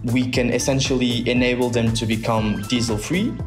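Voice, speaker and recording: A young man from the south of Portugal, a founder answering interview questions thoughtfully with many pauses and restarts. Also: mid-range voice, fairly even intonation. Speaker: startup founder, guest. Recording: roomy sound with music bed.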